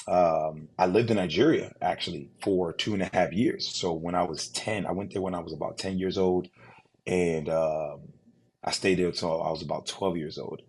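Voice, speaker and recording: Husky low-voiced man telling his story. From United States. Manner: relaxed storytelling with many fillers and restarts. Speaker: guest, insurance agency owner. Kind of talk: casual interview.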